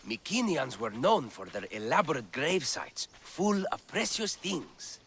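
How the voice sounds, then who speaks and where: An actor playing an eager, bookish scholar of antiquities in ancient Greece, with florid, theatrical enthusiasm in video game dialogue. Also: male voice, fairly high pitch, big pitch swings, unhurried, a bit bright, a little hiss or hum, exaggerated voice.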